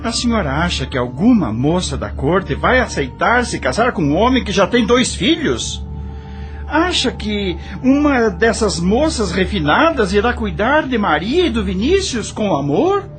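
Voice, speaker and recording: A man's voice: high voice, sing-song, a little slow, soft background music. An actor playing an earnest, resolute widowed father in an audio drama, speaking formally. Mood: indignant.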